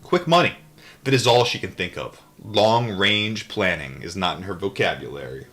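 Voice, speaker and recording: A man's voice: low voice, expressive, normal speed, a bit bright, soft background music. A man hosting a live read-aloud, moving between reading a book and casual, irreverent, rambling asides. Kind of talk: audiobook-style reading with casual off-the-cuff asides.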